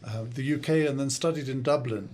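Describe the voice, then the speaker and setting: An older man born in South Africa and raised in the UK, speaking with an English accent, answering interview questions in a studio in a thoughtful, measured way with frequent hesitations. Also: mid-range voice, a little mellow. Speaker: guest, consultant and coach. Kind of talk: podcast interview.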